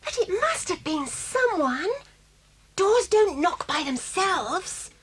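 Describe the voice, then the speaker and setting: A woman's voice: very high-pitched, very animated, slow pace, a bit bright, exaggerated voice. A woman playing a bossy, outspoken boarding school girl with a British accent and an emphatic delivery in an audio drama.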